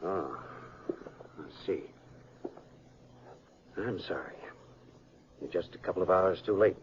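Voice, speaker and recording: An actor playing an older small-town American sheriff, folksy and halting, in a 1950s Western radio drama. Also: male voice, low-pitched. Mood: awkward, sorry. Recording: muffled, noisy 1950s radio recording.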